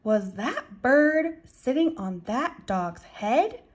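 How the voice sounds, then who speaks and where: A woman's voice: high-pitched, sing-song, takes it slowly, pitched above the performer's own voice, exaggerated voice. A woman voicing a solemn, chatty five-year-old girl, childlike and serious, in a storybook. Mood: wide-eyed, earnest.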